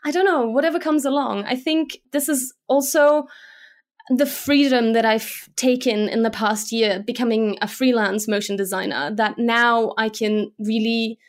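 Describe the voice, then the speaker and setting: A woman's voice: a little high, steady tone, measured pace. Chatty Slovak-born woman, English accent. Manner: chatty, with many fillers and restarts. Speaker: guest, motion graphics designer. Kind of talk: casual interview conversation.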